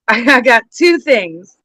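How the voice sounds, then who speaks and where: A woman's voice: fairly high pitch, very animated, extremely slow, pushed and bright, no echo. A woman guest on a livestream, sharing news in casual conversation. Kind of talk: casual chat, sharing gossip.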